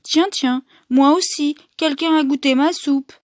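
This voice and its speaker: A woman voicing a mother bear from a children's storybook in a mild, gentle manner. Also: high voice, fairly even intonation, a little slow, no room sound, studio quality, pitched above the performer's own voice. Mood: mildly surprised. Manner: soft, mild. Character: gentle medium-sized mother bear, adult female.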